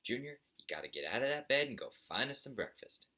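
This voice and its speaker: A man's voice: mid-range voice, big pitch swings, quick pace, sharp tone, voice pushed up. A man voicing a cranky, greedy old father buzzard who nags and blusters in a folksy way for a children's storybook. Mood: scolding, nagging. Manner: nagging, blustering, folksy lecturing.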